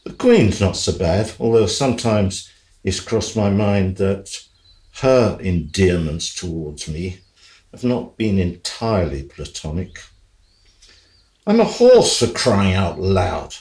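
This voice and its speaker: Talking horse, male actor, radio play. Low, unhurried, self-pitying, wry and indignant. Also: very animated, darkish tone, echoey room, full character voice. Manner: theatrical, droll, indignant.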